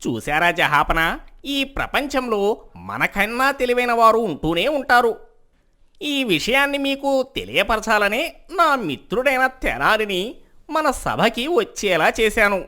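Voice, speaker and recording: A woman's voice: a little high, big pitch swings, unhurried, pushed and bright, heavily acted. A woman voicing a wise, deferential male royal minister in a cartoon moral story, speaking politely and emphatically. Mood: sagely. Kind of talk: animated moral story dialogue.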